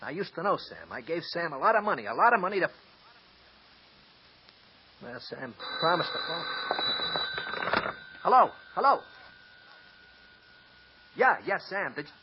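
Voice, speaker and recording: A man's voice: on the high side, big pitch swings, unhurried, long pauses, background noise. An actor playing a nervous, tipsy small-time male accomplice who stammers and wheedles, in 1940s radio acting. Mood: rambling. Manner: stammering, wheedling then snarling. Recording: dull lo-fi old radio recording.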